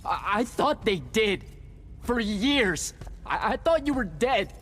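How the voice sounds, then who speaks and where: An actor playing a brash, emotional young male battlemage in a video game, speaking informally and haltingly. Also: high-pitched, sing-song, fairly bright tone, a little hiss or hum, full character voice. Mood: hurt, agitated. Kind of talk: video game cutscene acting.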